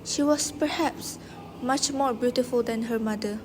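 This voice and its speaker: Casting: amateur actress as troubled villager with tragic news, high female voice, stagey delivery, noisy recording. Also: moderate pace, close to an everyday voice. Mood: grave recollection. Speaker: amateur student actor. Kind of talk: stage play dialogue, recited.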